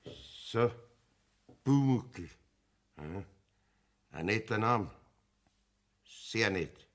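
An actor playing a wary, baffled woodturner in a German children's radio play, halting and curt, repeating his words. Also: lowish voice, expressive. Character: wary, baffled male woodturner, politely evasive.

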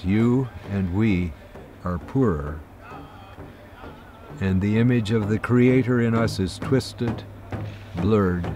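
A man reading a formal apology aloud, with grave, earnest, slow phrasing. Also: deep voice. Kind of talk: solemn read statement. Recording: voice-over over clear instrumental music.

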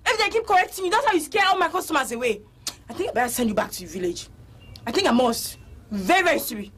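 Bossy boutique owner, quick, high and lively speech, faint room noise. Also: female voice. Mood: scolding. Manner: apologetic to customer, scolding to staff.

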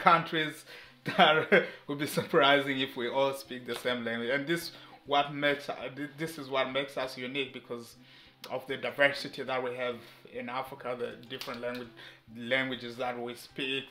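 A man's voice: mid-range voice, sharp tone. A man in his thirties from eastern Congo, whose first language is Mashi, talking casually to camera with frequent laughs and amused disbelief. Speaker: vlogger. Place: indoor living room with shelves. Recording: echoey room, faint music.

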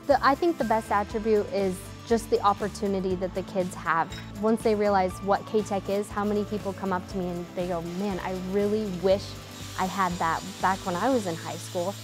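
A woman's voice: medium pitch, fairly even intonation, normal speed, over music. A woman in her thirties, a welding instructor, speaking earnestly and with enthusiasm in a documentary interview. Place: indoor welding classroom.

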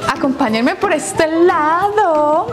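Upbeat young woman, high-pitched, touring a venue on a lapel mic with music underneath. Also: expressive, slow. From Colombia. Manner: chatty, playful, laughs at her own jokes. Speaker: vlogger touring a restaurant. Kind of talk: casual walk-through vlog. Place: large colorful restaurant interior, indoors.

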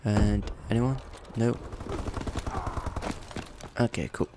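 Stuffy-nosed gamer lad rambling. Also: male voice, lowish voice, fairly even intonation, extremely slow, noisy background. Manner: rambling, chatty, swears at opponents. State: congested with a cold. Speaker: gaming YouTuber. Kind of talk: casual gameplay commentary.

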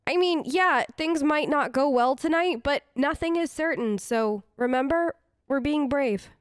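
High-voiced chatty woman, dry close mic. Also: measured pace, no room sound. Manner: chatty, reassuring, thinks aloud. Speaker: gaming host, role-play let's player. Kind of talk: in-character role-play game commentary, casual. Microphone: close microphone, dry voice-over.

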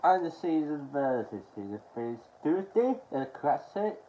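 A young adult man from Australia talking haltingly and with mumbled words in a casual show-and-tell to camera at home. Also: fairly high pitch, big pitch swings, dark tone. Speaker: collector showing his media haul. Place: small furnished room at home, indoors. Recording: dull lo-fi sound with background noise.

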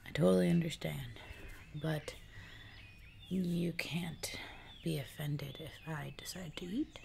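A young woman playing a hungry, casually menacing jungle giantess in an ASMR roleplay, whispering and murmuring softly, close to the microphone. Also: low voice, steady tone, full character voice. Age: young adult. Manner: slow, breathy whispering mixed with soft murmurs. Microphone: close microphone in front of mouth. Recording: close mic, noticeable noise and clicks.

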